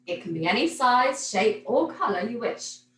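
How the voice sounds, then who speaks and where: A woman's voice: a little high, sing-song, normal speed, fairly bright tone. A woman voicing a courteous, kindly male hat shop salesman in a storybook, formal and obliging. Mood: obliging, enthusiastic. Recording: roomy echo, noticeable background noise.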